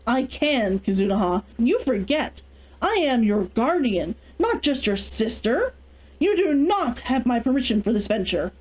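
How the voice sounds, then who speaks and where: A woman's voice: fairly high pitch, very animated, a little slow, darkish tone, low-quality recording. Woman playing a stern, controlling elder sister. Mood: cold authority. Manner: firm, scolding, authoritative.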